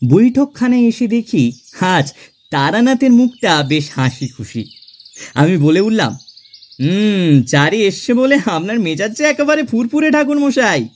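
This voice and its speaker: A man playing a genial middle-class clerk who narrates his own tale in an expressive, theatrical audio drama style. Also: sits high, measured pace. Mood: warm storytelling. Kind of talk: dramatised first-person audio story narration. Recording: music under the voice.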